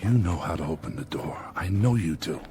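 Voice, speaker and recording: A man's voice: low voice, expressive, fairly quick, noisy background. An actor playing a restless, questioning village man in a radio drama, earnest and insistent.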